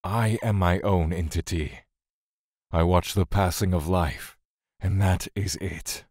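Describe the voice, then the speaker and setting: A brooding old-world god, solemn audio drama narration, husky and unhurried w music behind. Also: male voice, sits low, fairly even intonation, a little mellow, dry recording, clean studio sound. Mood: somber, reflective. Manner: slow, solemn, brooding storytelling. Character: weary male god recounting his love and exile.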